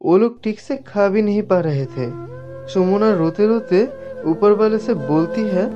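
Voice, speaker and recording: A man reading scripted narration for an animated moral story. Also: high-pitched, unhurried. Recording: muffled audio with background music.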